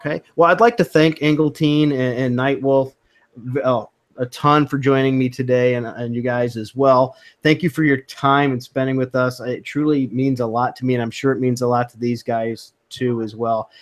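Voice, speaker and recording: A man's voice: medium pitch, little pitch movement, moderate pace. A man hosting a casual online chat about comics, talkative and encouraging, heard over a remote call mic. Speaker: host of a comics chat stream.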